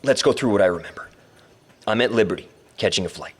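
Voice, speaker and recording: A man playing a frightened but wry kidnap victim in an audio drama, recording halting messages for help. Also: on the low side, breathy edge, faint music behind. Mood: tense, relieved. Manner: halting, self-correcting, wry.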